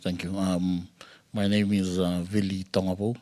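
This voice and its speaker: Very deep, even Fijian man. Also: a little slow. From Fiji. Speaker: education ministry delegate. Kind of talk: self-introduction at a meeting. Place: conference meeting room.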